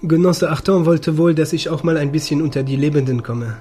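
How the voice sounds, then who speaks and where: A man's voice: a little high, a little fast, mellow, faint music behind, natural voice. A man playing a wry, unruffled fugitive space pilot in his forties in a science-fiction audio drama. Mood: casual.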